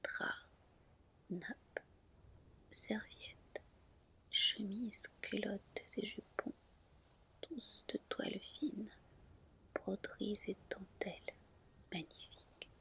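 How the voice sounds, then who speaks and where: Woman, whispered ASMR storytelling, gentle, unhurried, slightly creaky. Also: mid-range voice. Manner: gentle, soft, deliberate. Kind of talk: whispered ASMR fairy tale reading.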